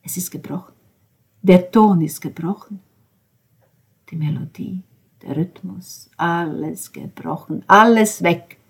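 A woman's voice: lowish voice, a little slow, breathy edge. Woman reading as a grieving, bitter young man. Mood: anguished. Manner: intense, veering between hushed whispers and outbursts. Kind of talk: dramatic audiobook reading.

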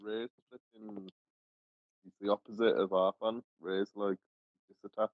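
Low-voiced, hesitant man chatting sports. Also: little pitch movement, a little slow, no echo. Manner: hesitant, many ums and chuckles. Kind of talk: casual sports chat. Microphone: online call. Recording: dull, dry online call audio.

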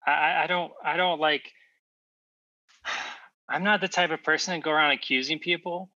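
A man playing a meek, slow-witted fellow in improvised comedy, hesitant and apologetic. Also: a little high, fairly even intonation, sharp tone, dry recording. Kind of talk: improvised comedy character acting. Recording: dull, slightly distant microphone.

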